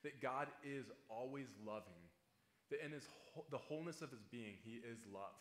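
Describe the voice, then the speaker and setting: A man preaching a sermon as pastor to his congregation, in a measured and earnest way. He slows down to stress key phrases. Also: mid-range voice, a bit bright. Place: church sanctuary. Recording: roomy church echo.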